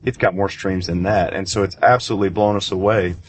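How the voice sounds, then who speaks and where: A male gospel singer, telling a story earnestly and with excitement. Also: deep voice, fairly quick, a little hiss or hum. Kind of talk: interview clip.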